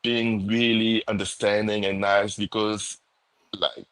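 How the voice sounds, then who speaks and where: Low-voiced man with a non-native lilt. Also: little pitch movement, slow pace, a bit bright, smooth. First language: not English. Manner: laughs often, searches for words, lots of "like". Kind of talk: casual live chat.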